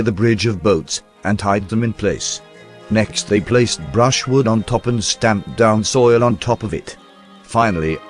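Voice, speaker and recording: A man reading a historical account aloud in a measured, storytelling documentary narration. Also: lowish voice. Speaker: reader of historical text.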